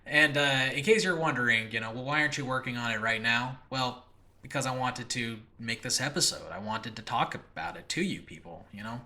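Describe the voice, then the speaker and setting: A man hosting a casual solo podcast, gushing excitedly to his listeners in a rambling, halting, self-interrupting way. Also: medium pitch. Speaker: host and creator of an audio drama channel.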